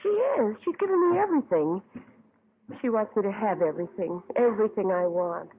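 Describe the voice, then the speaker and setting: A woman's voice: medium pitch, expressive, a little fast, slight background noise, lightly acted. An actress playing a haughty, fretful American woman in her thirties, defensive under questioning, in an old-time radio drama. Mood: distraught, pleading. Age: 30s. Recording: muffled tinny vintage radio, faint music.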